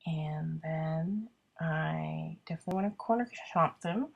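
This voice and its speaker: Woman, congested and husky, lowish voice, chatty self-deprecating crafter talking through her work. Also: a little slow, phone-quality sound. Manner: chatty, self-deprecating, thinking aloud with many ums. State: congested with a cold. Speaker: card-making crafter. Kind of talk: casual craft video narration.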